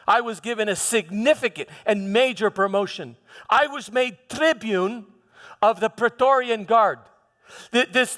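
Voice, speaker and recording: A man playing a proud, defensive Roman governor in a live church monologue, declaiming emphatically with halting repeated words. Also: extremely high pitch, bright, forceful tone, full character voice. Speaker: preacher performing a dramatic monologue. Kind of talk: first-person theatrical church monologue. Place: large hall, live church performance. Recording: long hall reverb.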